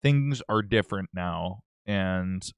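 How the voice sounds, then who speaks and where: A man's voice: sits low, slow pace, clear, resonant tone, no echo. Man in his thirties, podcast guest; matter-of-fact and philosophical, resonant voice, dry sound. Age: 30s. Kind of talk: casual podcast chat.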